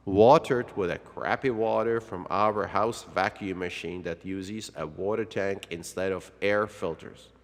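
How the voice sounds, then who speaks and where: A man narrating a children's read-along story in a dramatic way, drawing out words for effect. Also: on the low side. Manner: dramatic, with drawn-out words and exclamations. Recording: long hall-like reverb.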